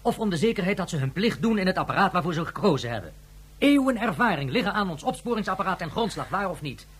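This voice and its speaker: Grave official with lively intonation. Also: male voice, high voice, fast talker, breathy edge, full character voice. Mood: firm, insistent. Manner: formal, earnest, rhetorical questioning. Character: grave, formal, measured official on a space station. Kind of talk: Dutch radio drama acting.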